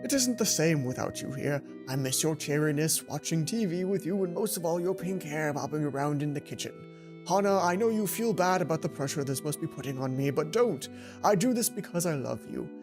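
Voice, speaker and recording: A woman's voice: low voice, expressive, fairly quick, music in the background. A woman voicing a loving, weary, lonely father in a visual novel, reading his letter tenderly. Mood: tender, wistful.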